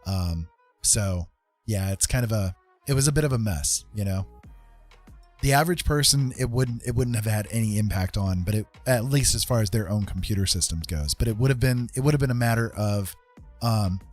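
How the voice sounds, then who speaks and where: An American man hosting a livestream, chatting casually and explaining things in an informal, halting, rambling way. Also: lowish voice, soft background music. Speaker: livestream host chatting with viewers.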